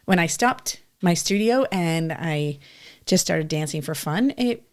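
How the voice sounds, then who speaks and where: A woman talks casually in a podcast conversation. Her voice is a little lower than average. She speaks informally, as someone sharing her own experience. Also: animated, measured pace, a little mellow. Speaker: podcast participant, former dance teacher. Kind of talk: casual chat.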